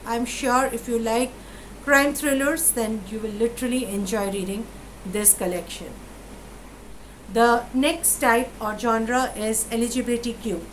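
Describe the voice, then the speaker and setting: A woman with an Indian English accent giving casual book recommendations to the camera, pausing often with fillers and restarting her sentences. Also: fairly high pitch, a bit bright, slight background noise, rough recording. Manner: hesitant, many fillers and restarts. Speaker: book vlogger.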